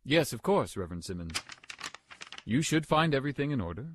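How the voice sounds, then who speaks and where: A man's voice: mid-range voice, very animated, a little fast, slight background noise, lightly acted. A man playing an assured, ardent bridegroom, formal and emphatic in a gothic audio drama. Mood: assured, firm.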